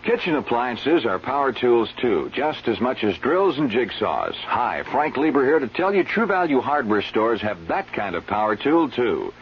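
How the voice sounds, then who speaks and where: Radio ad spokesman: man, lively, varied intonation, seventies retail pitch; muffled recording. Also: moderate pace, few pauses. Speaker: commercial spokesman. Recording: muffled, hissy old radio broadcast.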